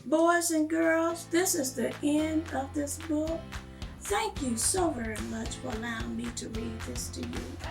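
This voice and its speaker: A woman reading a storybook aloud to children in a deliberate, expressive manner. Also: high voice, vocal fry, soft background music. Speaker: read-aloud guest reader.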